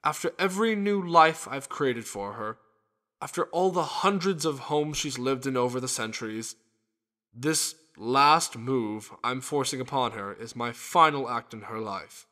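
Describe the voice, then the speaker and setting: A man's voice: fairly high pitch, expressive, normal speed, sharp tone. A man voicing a suave, scheming immortal god in a cartoon, with a polite salesman's delivery. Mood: grave, weary. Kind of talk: animated web series dialogue.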